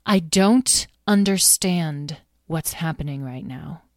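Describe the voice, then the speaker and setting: A woman's voice: sits low, slow pace, dry recording, studio quality. A woman voicing a moody, sarcastic fairy advisor in a storybook.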